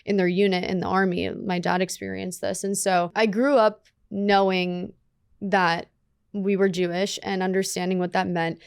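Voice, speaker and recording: A young woman whose first language was Russian, speaking earnestly and deliberately as a guest in a studio interview. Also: mid-range voice, little pitch movement, gravelly, smooth, no room sound. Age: young adult. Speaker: guest, student leader. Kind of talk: conversational interview. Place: dark studio set with sofas. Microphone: studio mic on a boom arm.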